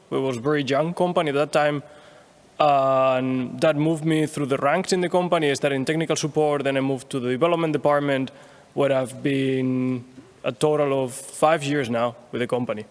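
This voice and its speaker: A man's voice: medium pitch, steady tone, moderate pace. A man from Spain, introducing himself steadily as a robotics engineer on a panel. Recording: long concert hall reverb.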